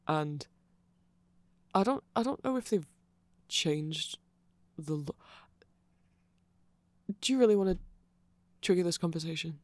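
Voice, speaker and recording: A nerdy, hesitant vampire played by a man talks softly in an ASMR roleplay. The voice is creaky and very dry, close to the mic. He rambles in a self-deprecating, friendly way to one listener. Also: a little high, no room sound. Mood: shy, hesitant. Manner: halting, self-deprecating rambling with small laughs. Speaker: ASMR audio roleplay performer. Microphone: close microphone.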